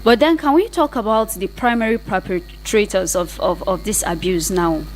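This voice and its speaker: A woman's voice: mid-range voice, normal speed, slight background noise, rough recording. A woman with a Nigerian accent hosting a conversational radio interview, listening attentively and murmuring short agreement.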